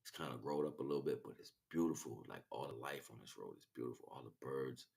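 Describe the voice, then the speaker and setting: A man's voice: rumbling low, quick pace, creaky, darkish tone. A man livestreaming a casual, rambling spiritual monologue in street slang, often checking in with rhetorical questions. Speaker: livestream host.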